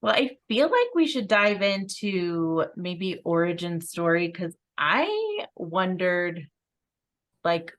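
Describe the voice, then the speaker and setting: Slow, lively-toned woman co-host. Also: fairly low pitch. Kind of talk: casual chat.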